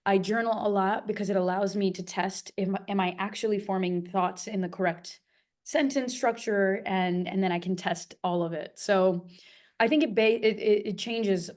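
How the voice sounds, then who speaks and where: A woman co-hosting a casual talk about learning languages, animated and thinking aloud with quick fillers. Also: medium pitch. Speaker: polyglot co-host. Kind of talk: casual conversation.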